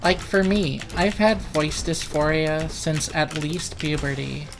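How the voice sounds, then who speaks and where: A let's player giving casual, rambling gaming commentary, profane and deadpan, with keys clicking. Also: medium pitch, slow pace, gravelly, background noise. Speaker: let's play host.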